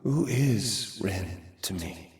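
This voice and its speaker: A man's voice: fairly low pitch, animated, slow, a little mellow, husky, exaggerated voice. An actor voicing a conflicted young man who thinks aloud about his feelings in a game cutscene. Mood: pensive. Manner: earnest, searching, sometimes exasperated. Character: conflicted young man torn over his love life. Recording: with background music.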